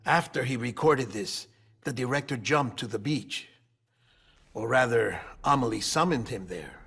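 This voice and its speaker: A man playing an earnest, analytical team colleague in a video game, explaining things in a measured way. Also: medium pitch, expressive, vocal fry, a bit bright, husky, full character voice. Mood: thoughtful, grave. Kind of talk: video game cutscene dialogue.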